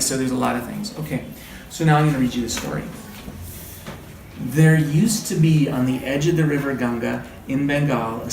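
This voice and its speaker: A man leading an interactive read-aloud lesson, speaking in an encouraging, conversational way and repeating what listeners say. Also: mid-range voice. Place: indoor group training session. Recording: roomy, distant, clearly noisy room recording.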